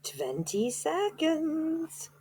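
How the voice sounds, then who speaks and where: A woman voicing a sinister, commanding female witch leader, shrill and theatrical in a storybook reading. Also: wildly swooping pitch, extremely slow, a little mellow, heavily acted. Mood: gloating.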